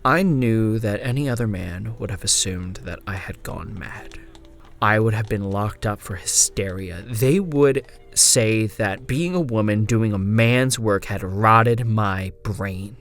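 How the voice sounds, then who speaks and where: A man performing a frontier gunslinger's first-person horror narration, in a hushed, deliberate delivery with drawn-out phrases and swelling emphasis. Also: on the low side. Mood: haunted, grieving. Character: woman frontier gunslinger recounting her haunted, lost memories. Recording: music bed under the narration. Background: music.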